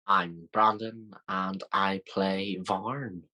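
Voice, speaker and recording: A man's voice: low voice, fairly even intonation, very slow, pushed and bright. An Irish man, a voice actor, chatting casually in a sweary, self-mocking way. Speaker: voice actor, podcast guest. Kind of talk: casual banter.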